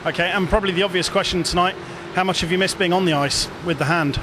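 A man's voice: high voice, fast. A man interviewing someone after a game for a fan channel, asking casual questions into a handheld microphone. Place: indoor sports hall. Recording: noisy venue with background ambience.

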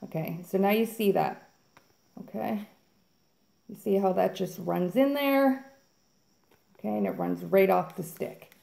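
A woman's voice: mid-range voice, measured pace, gravelly, pauses often, warm tone, roomy sound. A woman hosting a fluid art channel, chatting casually and upbeat to viewers while she mixes paint. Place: home art studio, indoors.

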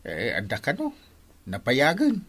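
A man playing a reluctant, grumbling village captain in an Ilocano radio comedy drama, with an Ilocano accent and husky voice. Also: medium pitch, huge pitch swings, unhurried, fairly bright tone, exaggerated voice. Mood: chiding.

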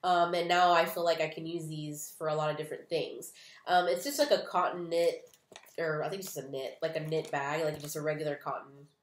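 A woman's voice: deep voice, fairly even intonation, a little fast, reverberant. A young woman in her twenties with a stuffy, nasal voice from a cold, chatting casually and rambling through a beauty box unboxing, recorded on a webcam in a small room. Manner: chatty, rambling, many "um" and "like" fillers. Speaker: beauty vlogger.

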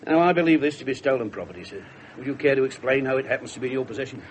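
A brusque English detective inspector played by a male actor, sardonic and dry, his pitch swinging widely. It is 1970s radio play acting, with a faint noise on the old broadcast. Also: animated, racing, close to an everyday voice, rough recording. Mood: dryly official. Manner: dry, sarcastic, clipped.

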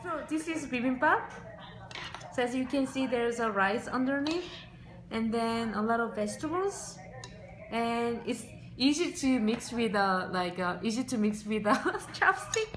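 A woman's voice: on the high side, expressive, a little slow, a bit bright. A Korean woman in her thirties speaking English with a Korean accent, chatting bubbly and laughing often as she tells her story to the camera. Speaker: vlogger. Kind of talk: casual chat. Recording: echoey room with crowd chatter.

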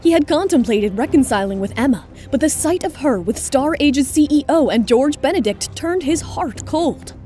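A woman's voice: high voice, lively intonation, moderate pace, few pauses, dark tone, faint music behind. A woman narrator reading a scripted romantic drama in audiobook style.

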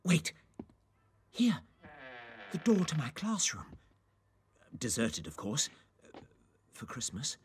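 A man's voice: a little high, huge pitch swings, slow, long pauses, slight background noise, exaggerated voice. An actor playing a timid, reluctant old miserly businessman in an audio drama, hesitant and querulous. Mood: wistful.

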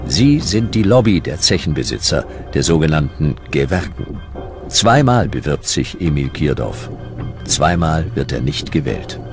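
A man reading scripted history narration as a documentary narrator, in measured, dramatic short sentences. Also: rumbling low, very animated, over music.

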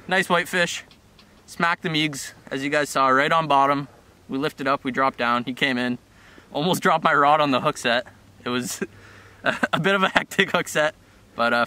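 A young man vlogging casual, excited commentary while ice fishing in a shelter on a frozen lake, recorded on an action camera. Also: on the high side. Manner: excited, shouts on catches.

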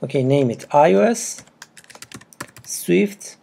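Guy w/ non-native english accent doing a casual screencast walkthrough, slow n halting, kinda husky. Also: on the high side, animated, dark tone. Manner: halting, broken phrasing. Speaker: programming tutorial presenter. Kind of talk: casual step-by-step screencast narration. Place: indoors at a computer.

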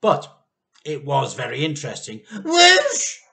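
Animated middle-aged male storyteller, bright voice. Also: mid-range voice. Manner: animated, emphatic, drawn-out key words. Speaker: teacher reading aloud. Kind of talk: expressive children's storybook narration. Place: small living room indoors.

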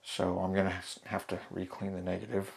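A man hosting a hands-on darkroom printing tutorial, talking through each step in a relaxed way with fillers and restarts. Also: deep voice, fairly quick, vocal fry, slight background noise. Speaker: photography channel host. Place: home darkroom in a laundry room.

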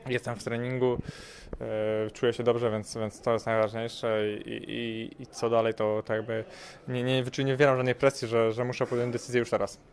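Young male boxer, flat delivery, halting with fillers, handheld mic at an event. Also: mid-range voice. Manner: hesitant, many fillers and repeated words. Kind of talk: casual interview answers. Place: event press wall, indoors. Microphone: handheld mic held by interviewer.

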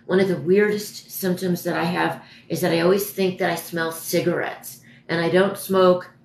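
Hoarse, low-voiced woman livestreamer. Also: a little hiss or hum. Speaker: livestream host. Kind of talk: casual livestream chat answering viewer comments. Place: dim living room at home, indoors. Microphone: phone propped a step or two away. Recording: echoey room, phone at a distance.

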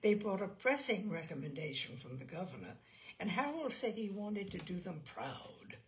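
A woman reading literary fiction aloud as a storyteller, in a measured, expressive audiobook style. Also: mid-range voice.

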